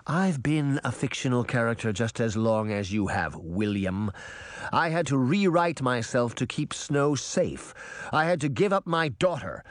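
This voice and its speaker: A man voicing a sharp-tongued, weary wicked queen in a storybook reading, scolding and emphatic. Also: medium pitch, expressive, a little slow, dry recording, clean studio sound, lightly acted. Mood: bitter, defensive.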